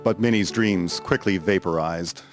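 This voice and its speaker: A man's voice: deep voice, measured pace. A man reading scripted historical narration for a dramatic story. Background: music.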